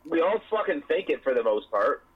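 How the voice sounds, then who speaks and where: A man's voice: a little high, very animated, fairly quick, slightly rough, narrow, tinny audio. A man chatting casually as podcast co-host, rambling with frequent restarts and swearing.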